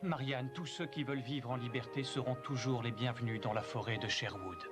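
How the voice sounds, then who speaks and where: A man's voice: mid-range voice, fairly quick, natural voice. A man playing a brave, loyal young outlaw leader in a French cartoon dub, with an earnest delivery. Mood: warm welcome. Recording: loud music behind the voice.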